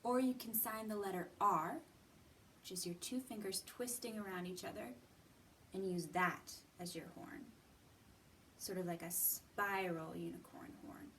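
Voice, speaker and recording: A woman hosting a library storytime, teaching and reading to young children in a deliberate, encouraging way with questions and pauses. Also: medium pitch, fairly even intonation, fairly bright tone. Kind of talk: children's lesson and read-aloud. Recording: distant mic with clear background noise.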